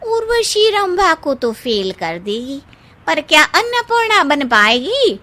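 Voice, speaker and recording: A woman voicing a chatty, outspoken older party friend, exclamatory and gossipy, in an animated moral story. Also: sits high, takes it slowly, soft background music, pitched above the performer's own voice, full character voice. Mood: admiring, teasing.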